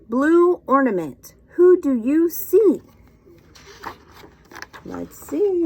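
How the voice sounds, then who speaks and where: Slow, high-pitched, lively children's storytime woman. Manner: sing-song, expressive, questioning, encouraging. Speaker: children's storytime reader and teacher. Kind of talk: interactive read-aloud to young children.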